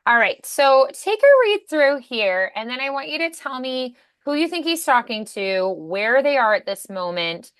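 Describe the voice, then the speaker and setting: A woman's voice: sits high, sing-song, normal speed, hardly any pauses, a bit bright, no room sound, clean studio sound. A woman working as a voice acting coach, encouraging and affirming as she talks a student through questions one-on-one.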